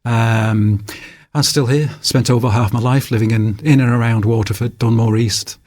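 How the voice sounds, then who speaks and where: An older man with a Manchester accent is interviewed as a guest author in a radio studio. His mid-pitched voice sounds dry and close, with no room echo. He talks in a relaxed, rambling way, often pausing on fillers. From England.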